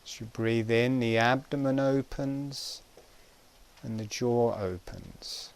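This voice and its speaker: A man giving guided breathing instruction in a calm, gentle, measured way, pausing between short phrases. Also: mid-range voice, creaky.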